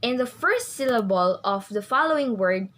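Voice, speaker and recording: A woman's voice: a little high, animated, moderate pace, fairly bright tone. A young woman reading her part of a class report from prepared text. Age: young adult. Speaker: student presenter.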